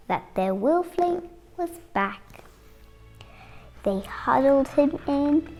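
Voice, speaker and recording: An eight-year-old girl reading a children's picture book aloud, expressively and with deliberate pauses. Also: high-pitched. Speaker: young storybook reader.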